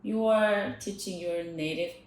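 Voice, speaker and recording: A woman's voice: medium pitch, measured pace, roomy sound, slight background noise, low-quality recording. A young woman whose first language is Korean, co-hosting a casual podcast chat with many "like" fillers. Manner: chatty, frequent "like" fillers. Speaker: podcast co-host.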